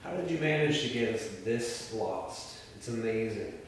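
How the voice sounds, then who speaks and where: A man acting out an exasperated older brother in his thirties, sarcastic and reproachful, in a film script. His voice is a little low and sits far off in a very echoey room. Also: expressive, normal speed, a little hiss or hum, close to an everyday voice. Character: Ray, exasperated thirty-two-year-old older brother driving. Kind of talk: table read of a film script.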